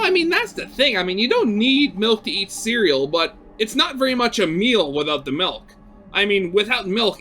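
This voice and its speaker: A man's voice: high-pitched, pushed and bright. A man reading a visual novel aloud as a gaming video host, with casual, rambling commentary.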